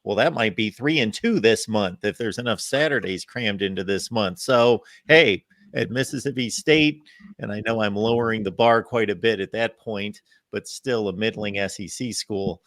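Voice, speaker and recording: A man's voice: lowish voice, moderate pace, a bit bright, no room sound, clean studio sound. A man co-hosting a sports talk show, chatting loosely with fillers.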